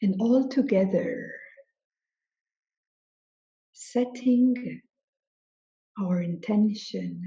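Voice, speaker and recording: A woman spiritual teacher leading a guided meditation in slow, deliberate phrases separated by long pauses. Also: medium pitch, creaky, warm tone, muffled recording.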